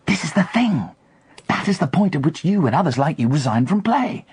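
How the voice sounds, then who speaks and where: A man's voice: a little high, sing-song, fairly quick, a little mellow, slightly rough, heavily acted. A man acting in a radio drama as a reckless, obsessive gambler, theatrical and philosophising, pressing insistent questions. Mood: feverish conviction. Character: reckless, obsessive male gambler urging on his companion.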